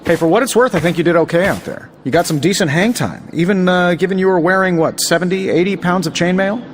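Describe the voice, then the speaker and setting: An actor playing a flippant superhero inventor in a video game cutscene, sarcastic and chatty with nervous bravado and hesitant asides. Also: male voice, sits high, fast, a little hiss or hum, lightly acted. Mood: teasing. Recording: loud music underneath. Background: music.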